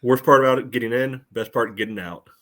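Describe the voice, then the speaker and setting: A man's voice: mid-range voice, fast talker, fairly bright tone. A man co-hosting a sports podcast, chatting casually with a dry, wry sense of humour. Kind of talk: casual chat.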